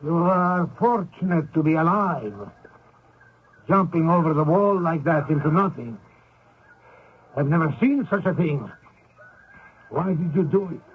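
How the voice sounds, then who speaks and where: A man's voice: a little high, unhurried, long pauses, slightly rough. A man playing a commanding military captain hunting an escaped prisoner, speaking formally in old-time radio drama style. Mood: stern, amazed. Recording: muffled, very tinny old radio broadcast.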